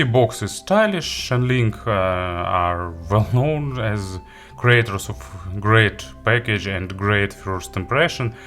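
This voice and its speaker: A man giving a casual gadget review and unboxing, halting and hesitant as he thinks aloud. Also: lowish voice, fairly bright tone. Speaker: audio gear reviewer.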